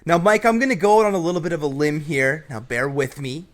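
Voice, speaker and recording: A man's voice: on the high side, fast talker. A young man hosting a casual advice show, brash and joking, with some swearing. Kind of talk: casual advice commentary over gameplay.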